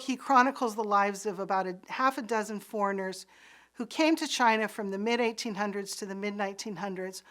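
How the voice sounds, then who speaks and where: A woman's voice: medium pitch, a bit bright. A middle-aged woman raised in Pakistan, giving a recorded course lecture in an earnest, explanatory way with occasional restarts.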